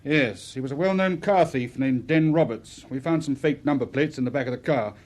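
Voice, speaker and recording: A man's voice: mid-range voice, brisk, darkish tone, lightly acted. A man playing a businesslike, deferential English police inspector in a radio drama, speaking matter-of-factly.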